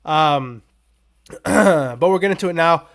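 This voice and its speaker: A man hosting a sports betting podcast in a loose, rambling, casual way, his voice a little raspy and strained as he is under the weather. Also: fairly high pitch, animated, slow pace, a little hiss or hum. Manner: rambling, loose, filler-heavy asides. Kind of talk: casual unscripted betting picks talk.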